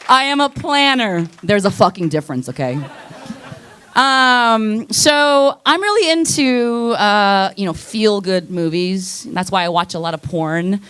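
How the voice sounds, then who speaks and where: A woman's voice: fairly high pitch, fairly bright tone, clear, resonant tone. Rambling, swearing woman doing stand-up. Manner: rambling, self-deprecating, loses her place and laughs at herself; swears casually. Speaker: stand-up comedian. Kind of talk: stand-up comedy set. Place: comedy club stage.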